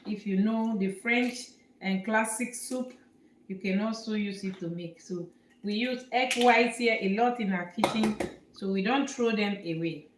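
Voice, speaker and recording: A woman from Nigeria hosting a live cooking demonstration as a chef, explaining each step slowly and repeating herself with fillers. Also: medium pitch. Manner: slow, repetitive explaining with fillers. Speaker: cooking show host, chef. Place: home kitchen. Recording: echoey kitchen, faint noise.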